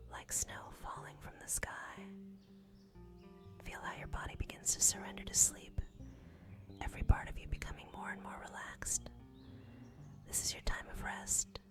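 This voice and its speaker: A woman narrates a scripted guided sleep meditation in a breathy, unvoiced whisper, soothing, gentle and unhurried in the manner of ASMR. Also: a bass voice. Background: music.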